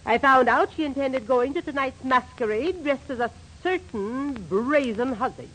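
High-voiced, domineering middle-aged matron. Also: female voice, lively intonation, moderate pace, a bit bright, husky, full character voice. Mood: icily stern. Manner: stern, formal, imperious. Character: domineering, indignant middle-aged wife and mother. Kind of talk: 1940s radio comedy acting. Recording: faintly noisy 1940s radio recording.

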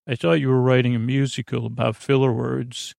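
Male podcast host, creaky voice, close dry sound; whimsical, halting bedtime rambler who wanders off mid-sentence. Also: mid-range voice, little pitch movement, darkish tone, no echo. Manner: meandering, halting, trailing off mid-thought; goofy, whimsical. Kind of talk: rambling sleep podcast monologue.

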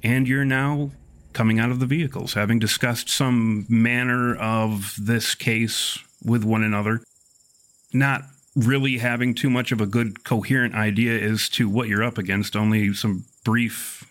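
A man improvising narration as game master of a tabletop horror game, with measured, wordy, formal phrasing. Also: medium pitch, fairly bright tone.

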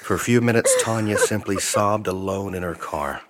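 A man's voice: lowish voice, moderate pace. A man reading scripted narration for a horror audio drama. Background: loud music.

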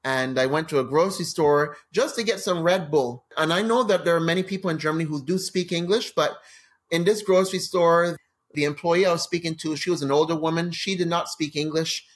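Canadian guy in his 40s or so, guest on a podcast, telling funny travel stories, kinda high voice, acts stuff out. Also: fairly quick. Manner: animated anecdotes with laughs and acted-out quotes. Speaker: guest, crime novelist. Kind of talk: casual interview storytelling.

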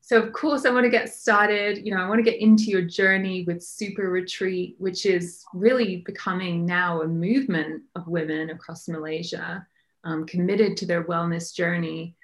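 A woman hosting a podcast and interviewing her guest in a friendly, conversational way. Her voice sits slightly low, and she often leans on "you know" while framing her questions. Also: fairly even intonation, normal speed. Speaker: podcast host.

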